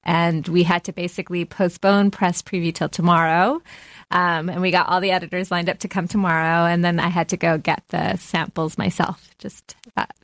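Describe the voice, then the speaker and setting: A woman co-founder talking as a guest in a studio interview, brisk and laughing often. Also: lowish voice, level tone, no room sound. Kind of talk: casual interview chat.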